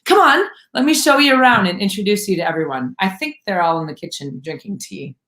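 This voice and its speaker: A woman voicing an excited young Mexican woman who works at a hostel, speaking in a gushing, high and loud way. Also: a little fast, lightly acted. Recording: roomy echo.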